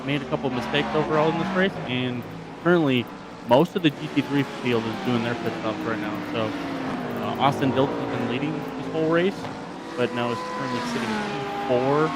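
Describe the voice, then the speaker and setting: A man's voice: medium pitch, very noisy. A man giving casual color commentary on a sim race, loose and full of fillers. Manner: casual, filler-heavy analysis.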